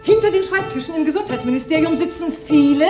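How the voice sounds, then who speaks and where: An actress playing a principled Soviet doctor in a radio drama, firm, dry and matter-of-fact. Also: female voice, high-pitched, animated, quick pace, over music. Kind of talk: German radio drama acting.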